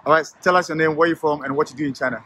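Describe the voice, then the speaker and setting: A man's voice: a little high, steady tone, very fast, heavy background noise. A man hosting a street interview, asking upbeat, direct questions. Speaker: host. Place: city street outdoors.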